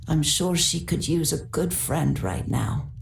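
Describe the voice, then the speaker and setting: A woman's voice: low-pitched, animated, unhurried, vocal fry, reverberant, full character voice. A woman playing a wry, mischievous elderly grandmother in a video game, with a teasing delivery. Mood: wry, gossipy. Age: older. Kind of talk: video game character voice acting.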